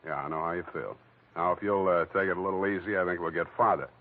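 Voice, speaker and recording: An actor playing a wry, world-weary American private detective, hard-boiled and clipped, in 1940s radio noir narration and dialogue. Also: male voice, low-pitched, fast. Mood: dry, patient. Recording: thin, narrow-band 1940s radio recording.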